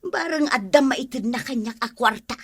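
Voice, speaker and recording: Woman acting a husky wheedling neighbour, Ilocano accent. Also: a little high, expressive, normal speed. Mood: grumbling. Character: wheedling, grumbling wife begging credit. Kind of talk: Ilocano comedy radio drama acting.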